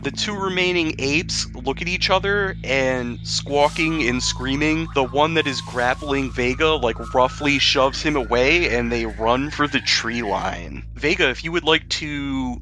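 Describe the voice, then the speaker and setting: A man's voice: mid-range voice, unhurried, hardly any pauses, background noise. A man narrating a tabletop roleplaying game as game master, switching between dramatic description and casual rules talk.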